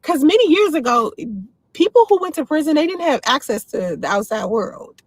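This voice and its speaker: A woman in her forties from the D.C. area talks straight to her livestream viewers and answers their comments without holding back. Her voice sits a little high. The sound is dry and close to the mic. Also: big pitch swings, normal speed, no echo. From Washington, D.C. area. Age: 40s. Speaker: livestream host. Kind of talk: casual livestream chat.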